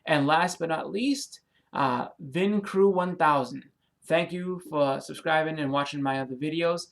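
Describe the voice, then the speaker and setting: A man's voice: fairly high pitch, measured pace, vocal fry. A man with a Boston accent, rambling through a casual vlog with many ums and you-knows, friendly and apologetic as he thanks new subscribers. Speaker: comic and movie YouTuber.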